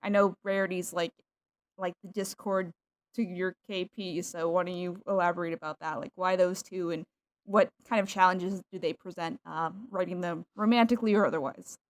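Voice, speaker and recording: A woman's voice: fairly low pitch, fairly even intonation, normal speed. A young adult woman hosting a podcast, rambling and self-deprecating in casual chat, often laughing. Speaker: podcast host.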